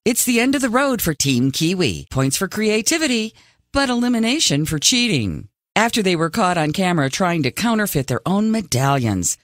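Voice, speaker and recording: A man's voice: high voice, sing-song, normal speed, a bit bright. A man playing an upbeat reality competition host in a video game, announcing with dramatic, tongue-in-cheek pomp. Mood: showy, wry. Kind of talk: scripted TV announcer narration.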